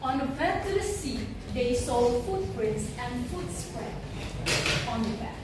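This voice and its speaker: A woman's voice: on the high side, lively intonation, slow, heavy background noise. A woman narrating a scripted folk story as part of a stage play. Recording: echoey room, far from mic, music behind. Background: music.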